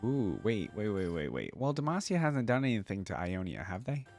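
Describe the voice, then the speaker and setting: A man hosts a reaction video with loose, spontaneous commentary. His voice is fairly low but swings around a lot in pitch. There is music playing in the background. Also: very animated, a little fast, a little mellow. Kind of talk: casual, off-the-cuff reaction commentary.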